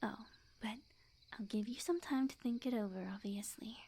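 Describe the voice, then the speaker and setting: A woman playing a sweet, smug, possessive witch in love, in a soft, breathy ASMR roleplay addressed to the listener. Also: fairly high pitch. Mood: playful, teasing. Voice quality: soft, breathy, often whispered. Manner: slow, coy, teasing, overconfident.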